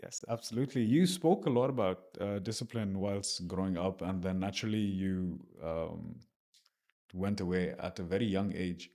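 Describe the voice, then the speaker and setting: A man's voice: deep voice, moderate pace, dark tone. A man hosting a podcast interview, reading a scripted intro and then asking casual questions with fillers. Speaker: podcast host.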